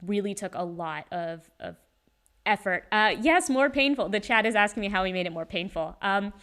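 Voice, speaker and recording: A woman's voice: medium pitch, expressive, moderate pace, fairly bright tone, clean tone. A woman chatting casually on a livestream Q&A about singing a role, rambling a little with many ums. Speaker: singer and voice actor in a fan musical. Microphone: handheld microphone.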